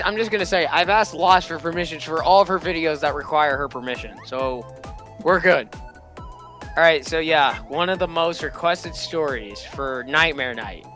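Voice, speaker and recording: Excitable, bright-voiced man reacting. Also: fairly high pitch. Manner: exclamatory, bursting into raised voice. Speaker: host of a reaction video. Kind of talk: excitable casual reaction commentary.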